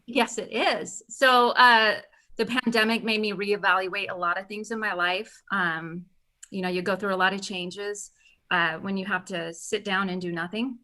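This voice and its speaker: A woman's voice: medium pitch, fairly even intonation, normal speed, bright, forceful tone. A woman in her forties, a guest performer chatting casually with the hosts on a live stream. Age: 40s.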